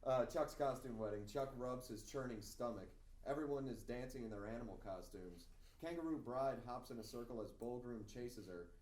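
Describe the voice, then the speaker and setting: Mid-pitched male narrator in an echoey room. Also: moderate pace, darkish tone. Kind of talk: reading screenplay stage directions at table read. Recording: echoey room, distant, faint noise.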